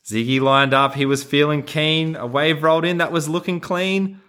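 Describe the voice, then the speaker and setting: A man's voice: a little high, steady tone, moderate pace, pushed and bright, smooth. An Australian man who hosts a podcast, talking casually and reading a children's storybook in a playful, encouraging way. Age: adult. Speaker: podcast host.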